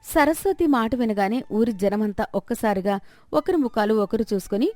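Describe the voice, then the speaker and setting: A woman's voice: a little high, animated, normal speed, darkish tone, no room sound. Woman narrator, very dry sound. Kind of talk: scripted moral-story narration.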